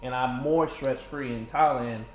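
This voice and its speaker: Interview guest, male, 30s, from Michigan; animated casual talker, mid-pitched voice, roomy indoor sound. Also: measured pace, a little hiss or hum. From Michigan, USA. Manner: animated, laughing, many "you know" fillers. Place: indoors in a condo. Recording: echoey room with faint ambience.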